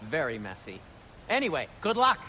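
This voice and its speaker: A man playing a fussy, chatty, fastidious dwarf blacksmith in a video game, with an animated delivery. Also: on the high side, slight background noise, full character voice. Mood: chatty, puzzled. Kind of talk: video game character acting.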